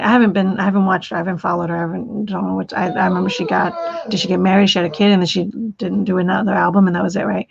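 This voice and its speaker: A woman hosts a live stream, her voice heavy with gravelly fry and a husky edge. She rambles, repeating words and restarting her sentences. The stream audio is dull and lo-fi. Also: mid-range voice. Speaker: livestream host.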